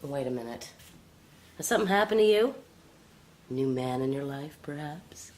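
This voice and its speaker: A woman's voice: a bass voice, expressive, moderate pace, a little mellow, slight background noise. An actress playing a brash, irreverent woman writer with a blunt, teasing, sardonic way of talking in an audio drama. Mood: teasing, pushy. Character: brash, irreverent, bossy young female magazine writer. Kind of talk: naturalistic audio drama dialogue.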